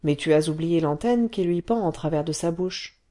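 A woman voicing a patient, calm cave father who explains slowly. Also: close to an everyday voice. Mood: explaining. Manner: slow, patient, low-key.

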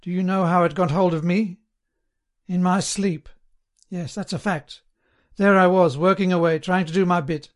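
Man playing a high-pitched, servile, eager party loyalist. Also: fairly even intonation, normal speed, natural voice. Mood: sanctimonious, confiding. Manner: earnest, eager, sententious, chatty. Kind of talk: audiobook character dialogue.

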